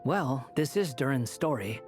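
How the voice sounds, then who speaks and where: A woman's voice: rumbling low, lively intonation, unhurried, over music. A woman voicing a cool, blunt, self-assured female character in a video game. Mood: cool, challenging.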